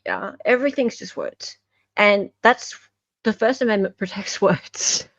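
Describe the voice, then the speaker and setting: A woman's voice: mid-range voice, measured pace, fairly bright tone, no echo, highs cut off. A woman with an Australian accent hosting an online show, giving casual, emphatic commentary and repeating phrases for emphasis. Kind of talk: casual commentary on court documents and recordings.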